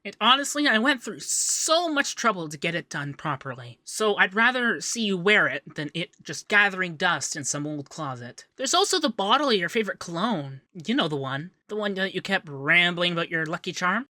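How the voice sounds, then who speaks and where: A man playing a young, fussy, devoted femboy secretary in a scripted ASMR roleplay, chatty and expressive. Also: high voice, dry recording, heavily acted. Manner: chatty, expressive, bashful asides. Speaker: voice actor in roleplay audio.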